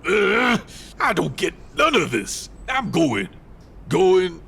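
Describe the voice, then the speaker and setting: A blunt, impatient resistance fighter from a video game. His voice is raspy and gravelly, pitched a bit high and very animated. He sounds gruff and folksy. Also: takes it slowly, exaggerated voice. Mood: impatient, blustering. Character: hot-headed, gruff, big-hearted male resistance fighter. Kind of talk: dramatic video game acting.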